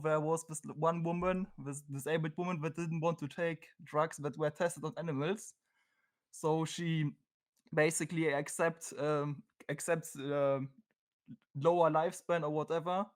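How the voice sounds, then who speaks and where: A man from Germany with a German accent, a non-native English speaker debating on a call, hesitant and searching for words. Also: a little high. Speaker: caller.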